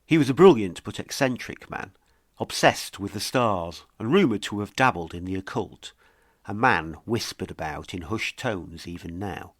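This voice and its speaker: A man's voice: lowish voice, animated, moderate pace, no echo, clean studio sound. A man hosting and narrating a scripted true-crime mystery series. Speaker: podcast host and narrator.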